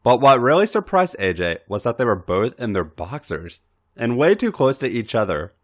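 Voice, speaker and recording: A man's voice: mid-range voice, big pitch swings, normal speed. A man reading fiction aloud in a theatrical, emphatic narrating style. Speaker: podcast host reading fan fiction aloud. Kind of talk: dramatic audiobook-style narration.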